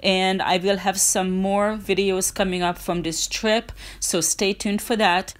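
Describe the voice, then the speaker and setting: A woman's voice: mid-range voice, level tone, unhurried. A woman narrating her hiking vlog in a casual, earnest, encouraging way, with small restarts. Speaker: hiking vlogger.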